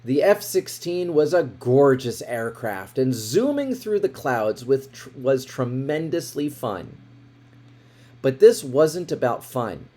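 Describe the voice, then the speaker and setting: A man reading a children's picture book aloud in an expressive way, with dramatic emphasis. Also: medium pitch, slow, soft background music. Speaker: storybook reader.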